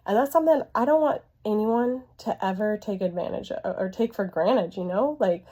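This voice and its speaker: Hoarse, earnest woman vlogging. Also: mid-range voice, normal speed, warm tone. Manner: earnest, encouraging, laughs often. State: hoarse, voice coming and going from illness. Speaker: vlogger sharing her life as a widowed mother. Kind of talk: heartfelt casual talk to camera. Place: home bedroom used as an office.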